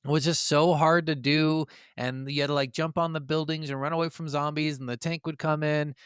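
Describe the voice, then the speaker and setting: A man's voice: a little high, flat delivery, a little fast, fairly bright tone, smooth, dry recording, clean studio sound. A man co-hosting a casual gaming podcast, talking enthusiastically and swearing freely. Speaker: podcast co-host.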